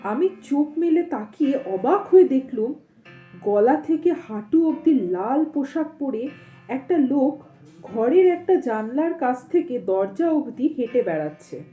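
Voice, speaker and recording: A woman reading a story aloud as an audio storyteller, in an expressive, suspenseful narrating manner. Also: high-pitched, measured pace, phone-quality sound, soft background music. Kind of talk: dramatic reading of a Bengali ghost story with casual asides.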